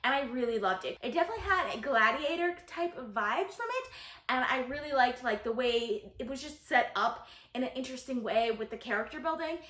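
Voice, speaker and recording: High-voiced woman, enthusiastic book vlogger. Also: lively intonation, a little fast, a bit bright. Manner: enthusiastic, gushing, emphatic. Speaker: book reviewer, video host. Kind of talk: casual book-tag vlog talk to viewers. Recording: roomy echo.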